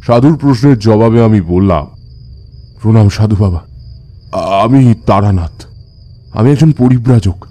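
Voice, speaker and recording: Man as a veteran tantric, deepish soft-edged voice, measured storytelling, reverent, audio horror drama. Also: faint music behind, natural voice. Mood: reflective, eerie. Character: Taranath, seasoned male tantric recounting his past.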